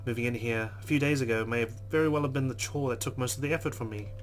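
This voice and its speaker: A man's voice: medium pitch, fast talker, slight background noise. A man hosting a game playthrough, reading the story aloud with earnest delivery and breaking into casual asides. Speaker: let's play host.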